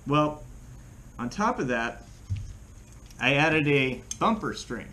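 A middle-aged American man giving a casual how-to demonstration in a plain-spoken, halting way, recorded indoors by a camera across a table. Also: on the high side, very animated, bright, forceful tone. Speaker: fishing channel host. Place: small room at home, indoors. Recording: clear background room noise.

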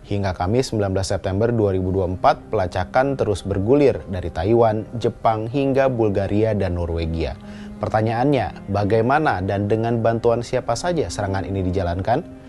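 Low, mellow male news narrator. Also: moderate pace. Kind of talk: scripted news explainer narration. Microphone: voice-over microphone. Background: background music.

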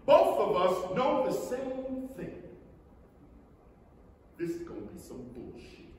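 A man giving a deliberate, dramatic staged reading of a novel. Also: very high voice, huge pitch swings. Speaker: stage reader. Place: large indoor theater stage. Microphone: far camera. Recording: very echoey theater hall, distant mic, hiss.

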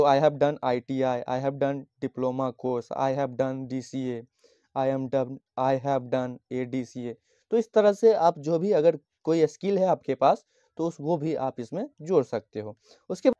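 Man from Bihar, tutor type, slow patient explainer, mellow tone, dry dull lo-fi sound. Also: fairly high pitch, dry recording. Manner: slow, patient, repeats each phrase and dictates English lines. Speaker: teacher. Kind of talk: handwritten English lesson explained in Hindi. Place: at a desk, writing on a notebook page.

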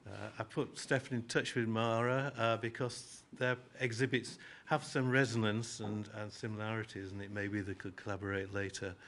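A man's voice: fairly low pitch, level tone, moderate pace, few pauses, fairly bright tone. A man hosting an event and introducing student speakers in a rambling way with many fillers, in a small gallery room. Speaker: event host.

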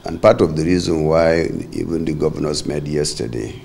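A man's voice: a bass voice, normal speed, vocal fry, darkish tone, slight background noise. A middle-aged man from Nigeria answering reporters' questions in an indoor corridor, firm and formal. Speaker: party caretaker chairman and governor. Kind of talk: press briefing. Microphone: many handheld reporter mics.

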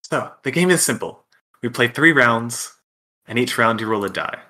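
A man's voice: medium pitch, animated, moderate pace, sharp tone. A game master, a man, narrating and explaining a tabletop roleplaying game.

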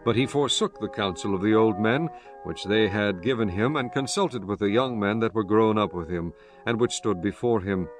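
A man's voice: on the low side, normal speed. A man narrating a dramatized scripture reading in a solemn, formal storytelling manner. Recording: music bed behind the voice.

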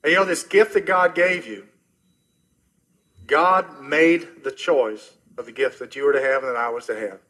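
A middle-aged man preaching a sermon as pastor, earnest and personal, often dropping soft and low at the ends of phrases. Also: moderate pace. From Oklahoma, US.

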